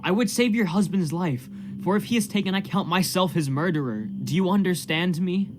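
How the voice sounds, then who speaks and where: A guilt-ridden preacher of a period radio drama, played by a man, has a slightly high voice. He speaks in fervent, formal appeals, full of anguish, with music clearly playing behind. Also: brisk, lightly acted. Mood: pleading. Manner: fervent, formal archaic speech. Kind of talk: period stage drama acting in a radio play.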